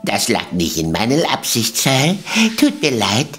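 A sly, greedy shopkeeper in a radio play. He talks slowly with wildly swinging pitch, obsequious and crafty, over background music. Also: very animated, a bit bright. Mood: servile, evasive. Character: sly, greedy, servile male Chinatown shopkeeper. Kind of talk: German audio drama acting.

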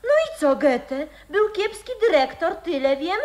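A flighty young woman in a radio drama. Her very high voice leaps about as she chatters, vain and teasing. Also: big pitch swings, a little slow, darkish tone, exaggerated voice. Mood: mocking. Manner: chattering, girlish. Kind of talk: radio play acting.

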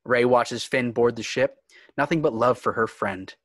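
A man's voice: mid-range voice, normal speed, no echo, clean studio sound. A man reading screenplay stage directions as dramatic scripted narration.

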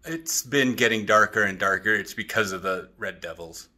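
A man in his thirties chatting casually in a vlog with an animated, jokey manner, recording on a phone at arm's length in an apartment. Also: lowish voice, moderate pace. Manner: animated, jokey, acts out quotes. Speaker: vlogger. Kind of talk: casual rambling vlog chat. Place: open-plan apartment living room and kitchen.

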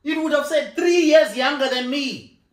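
A man's voice: very high-pitched, normal speed. A man in his thirties arguing his point insistently on a sofa at home, repeating himself and breaking into laughs, picked up by a camera across the room. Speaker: co-host of a couple's channel. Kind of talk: casual argument on a couch. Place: living room, sitting on a sofa. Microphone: far camera. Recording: echoey living room, camera mic.

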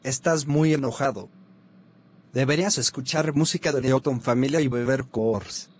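A man voicing a bratty bald cartoon boy who mocks grown-ups, with a flat, sneering delivery over music. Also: medium pitch, normal speed, slight background noise, natural voice. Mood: smug. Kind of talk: fan-made cartoon dub.

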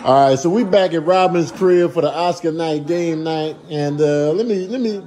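A man's voice: a little high, normal speed, warm tone. A man hosting a casual, joking home video in a kitchen, amused, slangy and emphatic. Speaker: vlog host. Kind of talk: casual, joking house-tour chat. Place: small indoor kitchen. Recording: very noisy handheld phone video.

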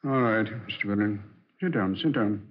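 An actor playing a fussy, gruff, muttering old rich man in a 1940s radio drama. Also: fairly low pitch, moderate pace, natural voice. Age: older. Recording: dull, muffled 1940s radio recording.

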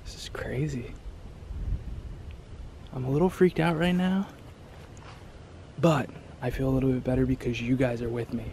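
A middle-aged man filming himself exploring an abandoned farm at night, talking in a hushed, nervous way to his camera. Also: medium pitch, fairly even intonation, normal speed, long pauses, a little hiss or hum. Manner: hushed, jittery, often dropping to a whisper. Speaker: urban explorer vlogger. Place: abandoned barn and farmyard at night, outdoors and indoors. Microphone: handheld camera.

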